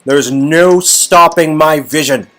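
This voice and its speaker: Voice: mid-range voice, slow, a bit bright, pitched above the performer's own voice. A man playing an imposing, pompous villain, speaking in a commanding and grandiose manner over music. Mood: menacing. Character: imposing, pompous male villain ruling by screen. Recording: music behind.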